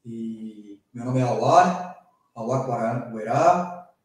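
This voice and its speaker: A man's voice: medium pitch, lively intonation, extremely slow, darkish tone, recorded from a distance. Sao guy talking as a guest, earnest, says né a lot, very echoey. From São Paulo state, Brazil. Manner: earnest, rhetorical, frequent "né" tags. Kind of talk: interview talk. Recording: very echoey room, voice from off-mic.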